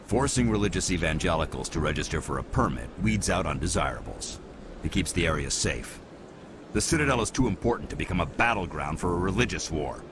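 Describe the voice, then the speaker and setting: An actor playing a stern, rule-bound alien security officer in a video game, clipped and disdainful. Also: male voice, deep voice, expressive, a little fast, noisy background. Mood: exasperated. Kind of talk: video game character acting. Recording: background music under the dialogue.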